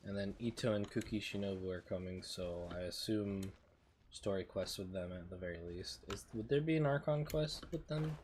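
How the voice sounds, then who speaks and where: A man's voice: lowish voice, normal speed, darkish tone, slight background noise. A man streaming a video game with informal commentary, thinking aloud as he plays. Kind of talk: casual gameplay commentary.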